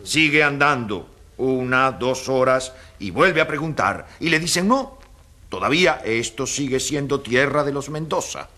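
An actor playing an earnest, emphatic professor who argues didactically in an oratorical radio debate. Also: male voice, mid-range voice, expressive, a little slow, sharp tone. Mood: earnest, challenging. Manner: didactic, rhetorical questions. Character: earnest, emphatic male professor arguing for landless peasants.

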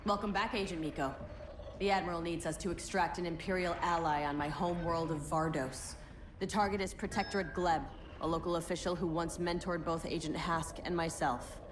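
An actress playing a disciplined female Imperial commander in a video game, briefing with crisp authority. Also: fairly low pitch, steady tone, fairly quick, roomy sound, noisy background, close to an everyday voice. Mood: businesslike, resolute. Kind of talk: video game cutscene acting.

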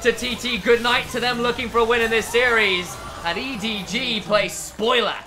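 A man co-casting a live esports match, calling fights rapidly and building to dramatic finishes. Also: very high-pitched, steady tone, background noise. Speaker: esports co-caster. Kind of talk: play-by-play commentary.

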